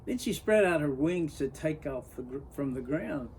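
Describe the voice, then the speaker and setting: A man reading a children's story aloud in an expressive storybook narration style. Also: fairly high pitch, normal speed, slight background noise. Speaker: story reader.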